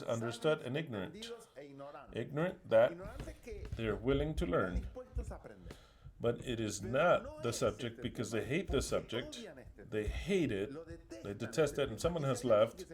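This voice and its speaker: A man with an Argentinian accent preaching a sermon, drilling his listeners to repeat phrases after him and swinging between loud stresses and quiet asides. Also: medium pitch.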